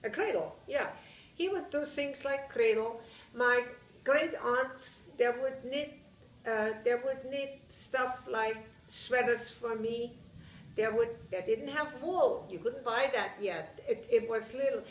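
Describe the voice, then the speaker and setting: An older woman from Germany with a Bavarian German accent, recounting her memories in an interview, often pausing to search for words. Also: on the high side, echoey room, far from the mic, rough recording. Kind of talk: oral history interview.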